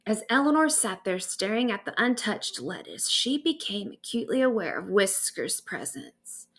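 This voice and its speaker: A woman narrating a bedtime story aloud in an expressive storytelling manner. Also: medium pitch, normal speed. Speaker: author reading own stories aloud.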